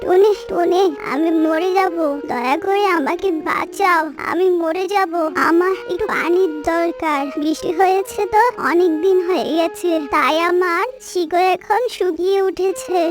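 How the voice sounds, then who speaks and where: A woman's voice: very high voice, steady tone, measured pace, hardly any pauses, music in the background. A woman voicing a small, childlike, plaintive talking creature in a children's cartoon.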